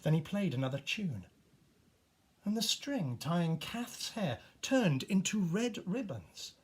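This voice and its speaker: A man reading a children's storybook aloud in an animated, expressive way with dramatic pauses. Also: a little high, breathy edge. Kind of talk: animated scripted storybook narration.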